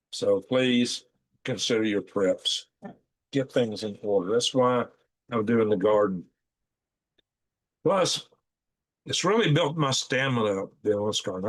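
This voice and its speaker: Folksy man, hoarse, live-chat host who rambles and reads viewer comments aloud. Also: on the low side, rough recording. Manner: rambling, folksy, with frequent fillers and restarts. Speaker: livestream host.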